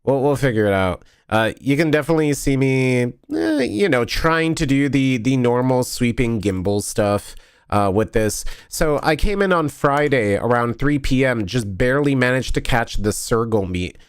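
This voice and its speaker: A man's voice: mid-range voice, expressive, normal speed, clear, resonant tone, no room sound, clean studio sound. A tired, sniffly man chatting casually on a stream, rambling and correcting himself as he goes. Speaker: vlogger streaming a convention video review. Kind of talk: casual live-stream chat while editing.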